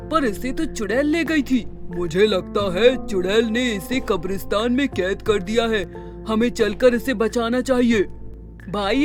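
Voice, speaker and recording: A man playing an earnest village man in a cartoon, delivering scripted dialogue. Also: extremely high pitch. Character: earnest village men planning a rescue.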